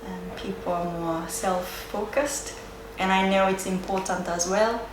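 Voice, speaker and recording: Woman, Japanese accent, native Japanese speaker, slow hesitant pacing, pausing for words, reflective interview answers, noticeable background noise. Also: medium pitch, fairly even intonation. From Sendai area, Japan. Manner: hesitant, searching for words, with frequent pauses. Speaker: interviewee, yoga and Japanese teacher. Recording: roomy echo, clear background noise.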